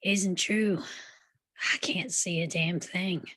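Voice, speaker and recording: Woman playing a weak, quiet dying cowboy. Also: on the low side, steady tone, slow pace, dry recording, full character voice. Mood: fading, resigned. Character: dying, resigned gunshot cowboy, tender younger brother. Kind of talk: table-read western drama acting.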